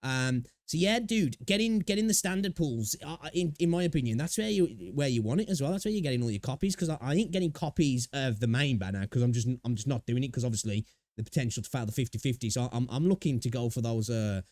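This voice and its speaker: Chatty male reactor, quick and excitable, close dry mic. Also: mid-range voice, no echo. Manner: chatty, excitable, curses casually. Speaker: channel host reacting to a video. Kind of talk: casual reaction commentary.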